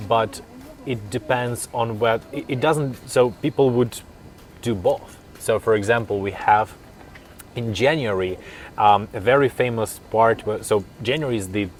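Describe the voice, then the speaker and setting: A man's voice: medium pitch, unhurried. A young man from Kazakhstan whose first language is Russian, answering interview questions thoughtfully with many fillers and restarts. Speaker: interview guest, linguist.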